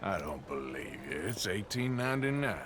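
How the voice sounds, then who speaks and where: An actor playing a gruff, sardonic American outlaw gunman in a video game, terse and drawling with a dry manner. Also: low voice, big pitch swings, moderate pace, crowd noise. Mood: wry. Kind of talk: naturalistic video game cutscene acting.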